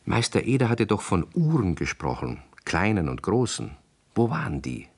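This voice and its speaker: A man's voice: lowish voice, wildly swooping pitch, moderate pace, breathy edge. A man narrating a children's story in a scripted 1960s radio play.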